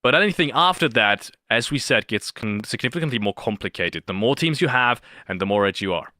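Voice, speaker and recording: A man's voice: mid-range voice, expressive, a little fast, pushed and bright, no room sound, studio quality. A man giving live esports commentary in an emphatic way, repeating phrases for effect.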